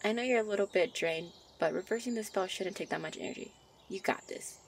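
A woman voicing a shy, gentle young nature fairy who teaches affectionately in a soft ASMR roleplay. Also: medium pitch, fairly quick, vocal fry, slight background noise, natural voice. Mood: warmly praising. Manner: soft, encouraging, affectionate, giggly. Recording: birdsong and outdoor ambience behind. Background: birdsong ambience.

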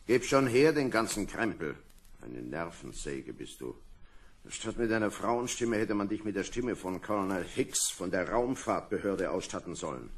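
An actor playing a gruff, weary space lieutenant in a vintage science fiction radio play, commanding and dryly grumbling. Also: male voice, low voice, big pitch swings, normal speed, slight background noise. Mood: annoyed. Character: gruff, weary male lieutenant leading an asteroid survey. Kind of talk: vintage German sci-fi radio play acting.

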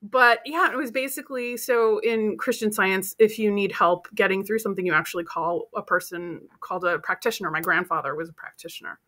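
A woman's voice: mid-range voice, brisk, hardly any pauses. A woman in her thirties chatting casually as a podcast co-host, telling stories and acting out the voices of the people she quotes, speaking from home through an earbud mic on a video call. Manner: animated storytelling, acts out quoted voices. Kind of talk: casual chat. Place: home room with bookshelves and poster. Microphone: earbud headset on video call.

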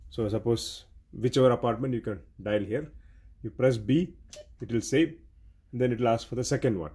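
Male technical trainer, mid-pitched husky voice, practical step-by-step instruction with repetition. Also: normal speed, dark tone. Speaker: intercom technician giving training. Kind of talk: hands-on instructional explanation.

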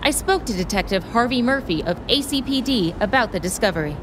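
A woman playing a pushy, driven crime reporter in an audio drama, brisk and insistent. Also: medium pitch, expressive, background noise, natural voice. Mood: composed, on-air. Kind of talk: naturalistic audio drama acting.

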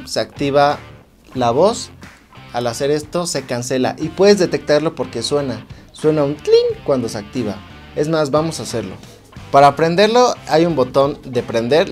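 A man's voice: medium pitch, a little mellow. A man with a Mexican accent giving a casual, conversational product review to viewers, explaining things informally as a tech vlog host.

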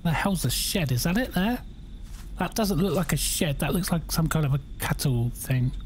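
Croaky gamer chatting. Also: male voice, on the high side, animated, moderate pace, a little hiss or hum. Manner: chatty, jokey, reacting aloud. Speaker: gaming YouTuber. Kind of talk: casual let's-play commentary.

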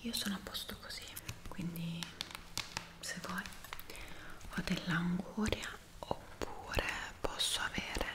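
A woman, speaking soothingly in a breathy whisper in an ASMR roleplay. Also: lowish voice, roomy sound. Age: 20s. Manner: soft, soothing, unhurried. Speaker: ASMR creator.

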